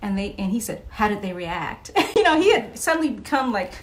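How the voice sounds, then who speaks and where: A woman's voice: mid-range voice, very animated, fairly quick, slight background noise. A middle-aged woman chatting casually as an interview guest, telling anecdotes and quoting remembered dialogue.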